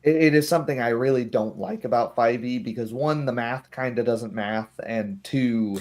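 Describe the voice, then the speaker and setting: A man's voice: medium pitch, moderate pace, darkish tone. Man casually explaining tabletop game rules. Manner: explanatory, acting out short hypothetical remarks.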